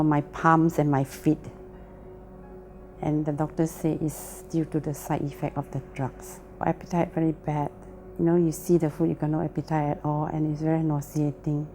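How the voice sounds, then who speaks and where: A woman's voice: low-pitched, mellow. A woman with a Malaysian English accent giving a plain, earnest personal testimony. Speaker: testimony speaker.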